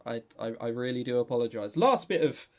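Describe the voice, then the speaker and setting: A man with a southern English accent co-hosting a casual gaming news chat, animated, sardonic and sweary in manner. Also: mid-range voice, fairly quick. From southern England. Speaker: podcast co-host.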